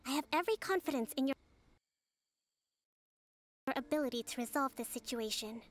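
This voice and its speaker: A woman's voice: high-pitched, lively intonation, normal speed. A woman playing a poised, courteous young military strategist in a video game, speaking formally and with composure. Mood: polite, measured. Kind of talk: video game character acting. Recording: music playing behind the voice.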